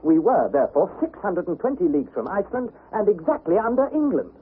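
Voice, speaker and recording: A man's voice: sits high, sing-song, fast talker, phone-quality sound. An actor playing an earnest, anxious young nephew, precise and dramatic as he narrates and acts in an old radio adventure. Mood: tense, measured. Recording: thin, noisy vintage radio recording.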